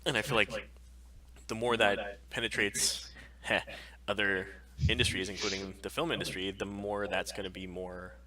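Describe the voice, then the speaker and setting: A man's voice: on the low side, flat delivery, normal speed, sharp tone, low-quality recording. A man co-hosting a podcast live stream, chatting casually and bluntly with opinions and plenty of swearing.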